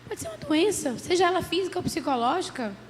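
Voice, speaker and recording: A hoarse woman with a cold, a psychologist giving an earnest church talk and often asking "né?". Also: extremely high pitch, very animated, fast talker. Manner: earnest, many "né?" tags. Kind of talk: church lecture. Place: church hall. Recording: roomy hall with faint background noise.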